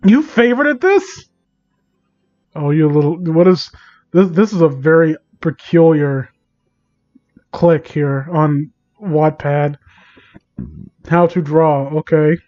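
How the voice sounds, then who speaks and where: A man doing a casual livestream reading and commentary, exasperated, sarcastic and sweary, with a halting delivery. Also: a little high, a little mellow, muffled recording. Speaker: live streamer reading and mocking online fan fiction.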